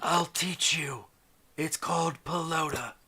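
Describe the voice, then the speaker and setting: A man voicing a menacing robot man for a tabletop game, speaking in a measured, courteous way. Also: fairly high pitch, creaky, background noise. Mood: measured, inviting. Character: menacing mechanical robot man offering protection and games.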